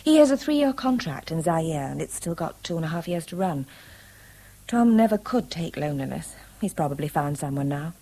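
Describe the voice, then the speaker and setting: An actress playing a candid, gently teasing woman with an English accent, poised and articulate, in a 1970s radio drama. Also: deep voice, lively intonation, normal speed, low-quality recording. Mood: teasing, cautious. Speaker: actor.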